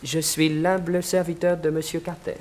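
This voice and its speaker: Casting: man as deferential bodyguard-servant, fairly high male voice, formal phrasing, noisy old radio recording. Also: flat delivery, normal speed, darkish tone, close to an everyday voice. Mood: humble. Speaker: actor in a detective radio serial. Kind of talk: 1960s French radio drama acting.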